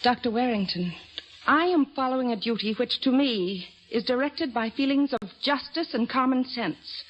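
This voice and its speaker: Actress doing proud determined young woman, high voice, formal and firm, old radio play, muffly recording. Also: moderate pace, lo-fi sound, natural voice. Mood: proud, resolute. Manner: firm, indignant, precise formal diction. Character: determined, proud young woman of twenty-six, aspiring surgeon. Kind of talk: old-time radio drama acting.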